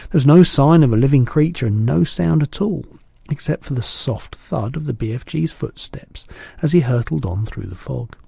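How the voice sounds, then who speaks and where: A man, a teacher, reading a children's book aloud in steady, low-key narration. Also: normal speed, vocal fry, breathy edge, no room sound.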